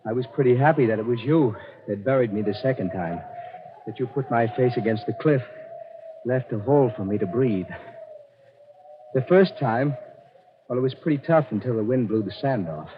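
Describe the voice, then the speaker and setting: A man acting in a 1940s radio drama, playing a calm, shrewd desert man with a matter-of-fact, explanatory manner. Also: mid-range voice, normal speed, long pauses, lightly acted. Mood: calm, explaining. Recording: muffled, noisy 1940s radio broadcast.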